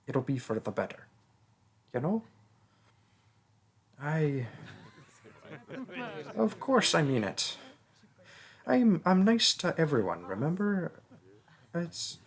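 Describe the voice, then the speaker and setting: A man plays a young male seer student who is friendly but worn down by a curse no one takes seriously. He speaks slowly and earnestly, rambling through his frustrations at a mid pitch. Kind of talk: audio roleplay.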